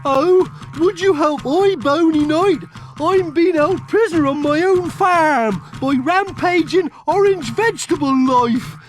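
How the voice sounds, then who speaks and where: A man playing a rustic, excitable farmer in a game cutscene, folksy, with an English West Country accent. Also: very high-pitched, unhurried, faint music behind. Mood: pleading, aggrieved. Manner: folksy, bumpkin-like. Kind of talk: animated game cutscene acting.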